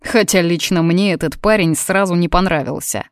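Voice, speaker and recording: A woman's voice: lowish voice, normal speed, no echo, studio quality, close to an everyday voice. A woman voicing an awkward young man in an audiobook, glum and mumbling. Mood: muttering. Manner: sad, muttering.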